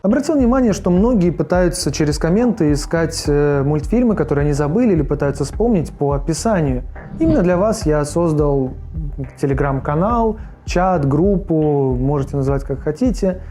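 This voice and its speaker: Higher-voiced man narrating over faint music. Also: animated, normal speed, warm tone. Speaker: video host reviewing forgotten cartoons. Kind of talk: casual scripted review narration.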